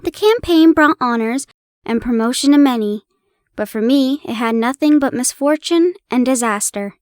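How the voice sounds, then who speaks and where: A young woman in a playful roleplay, chatting warmly to the listener and reading an old story aloud, giggling and stumbling over old place names. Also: on the high side, no room sound, studio quality. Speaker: audio roleplay performer.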